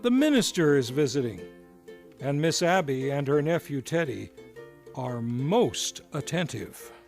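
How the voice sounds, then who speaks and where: Male radio drama narrator, showy announcing, lively pitch, music behind. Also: a little slow. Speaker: host and narrator of a radio play. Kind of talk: theatrical scripted narration.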